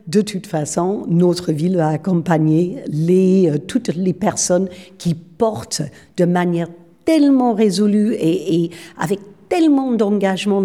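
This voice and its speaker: Woman, city official, eager and a bit rambly, husky mellow voice. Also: on the low side. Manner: warm, enthusiastic, repeats words as she searches. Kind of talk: interview at a public event.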